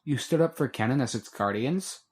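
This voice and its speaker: A man's voice: medium pitch, lively intonation, normal speed, husky, voice pushed up. A man voicing a weary, guarded young mentor. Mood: earnest.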